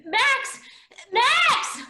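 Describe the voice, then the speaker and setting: Casting: actress as stern, exasperated comic lawwoman, high swooping voice, stage reading. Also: big pitch swings, very slow, echoey room, very noisy, heavily acted. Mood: alarmed. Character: tough, exasperated woman lawkeeper in comic play.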